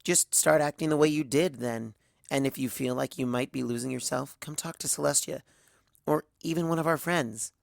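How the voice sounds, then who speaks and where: A man's voice: medium pitch, normal speed, creaky, no room sound, studio quality, voice pushed up, natural voice. Man voicing an earnest, hesitant young mare. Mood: pleading. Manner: hesitant, polite. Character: earnest, inquisitive female pony, hesitant and polite.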